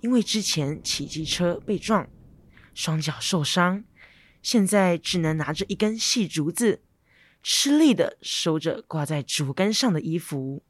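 A man's voice: a little high, lively intonation, measured pace. A teenage boy reading scripted narration for a radio drama story.

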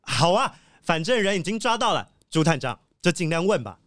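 A woman's voice: on the low side, big pitch swings, brisk, no room sound, studio quality. A woman with a Taiwanese accent voicing an earnest, easily baffled wolfdog sheriff who exclaims a lot in a children's audio drama. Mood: relieved pleased.

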